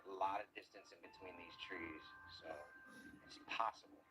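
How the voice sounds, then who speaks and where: A man working as an underwater search diver, narrating the search step by step outdoors by ponds and calmly reporting depths and findings. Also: low voice, moderate pace, soft background music, low-quality recording.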